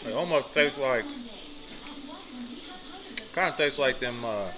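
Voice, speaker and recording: A man's voice: medium pitch, slow pace. Hyped young man reviewing food. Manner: hyped slang-heavy banter. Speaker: vlog host. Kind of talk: casual food review vlog. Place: small restaurant dining room.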